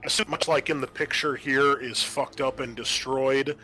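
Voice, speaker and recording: A man's voice: medium pitch, fairly even intonation, normal speed, bright, forceful tone. A man speaking as game master, casually explaining a tabletop horror game to his players.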